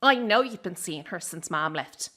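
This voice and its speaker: A woman acting in a radio drama as a bitter, defiant young circus performer, confrontational, sarcastic and quick to swear. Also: lowish voice, expressive, pushed and bright. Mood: bitter, furious. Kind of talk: dramatic radio play dialogue.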